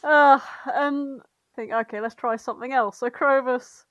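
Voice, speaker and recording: A woman's voice: high voice, fairly even intonation, unhurried, a bit bright, no echo, lo-fi sound. A woman co-hosting a podcast, retelling fan fiction in a giggly, sweary, informal comedic chat. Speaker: podcast co-host.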